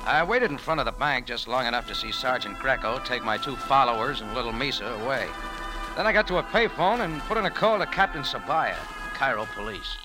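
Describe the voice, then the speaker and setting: An American actor playing a tough, wry adventurer and cafe owner in a 1940s radio drama, with clipped hard-boiled delivery. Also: male voice, medium pitch, animated, fairly quick, close to an everyday voice, poor audio. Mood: hard-boiled narrating. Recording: old radio recording with loud music.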